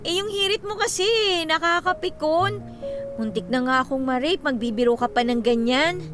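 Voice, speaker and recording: A high-pitched, feisty young heroine, acted by a woman, spirited and earnest in radio drama style. Music plays clearly behind her voice. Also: animated, normal speed. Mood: annoyed. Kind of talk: Filipino radio drama acting.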